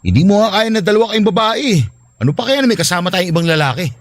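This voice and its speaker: A man's voice: sits high, animated, fast, no echo. An actor with a Filipino accent playing a devoted but reluctant husband, earnest as he talks with his wife in a radio drama. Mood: reluctant, uneasy. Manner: protesting, earnest.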